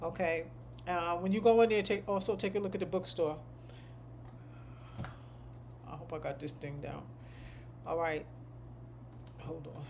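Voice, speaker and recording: A woman with a deep voice, a medical coding instructor, chatting casually to her class on a live stream, rambling and repeating points for emphasis. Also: fairly even intonation, measured pace, pauses often, darkish tone, a little hiss or hum.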